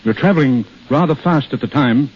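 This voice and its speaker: A man's voice: medium pitch, a little fast, husky, lightly acted. An actor playing a genial older duke and reassuring father in a 1930s radio drama, with theatrical phrasing. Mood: relieved. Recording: muffled vintage 1930s radio broadcast.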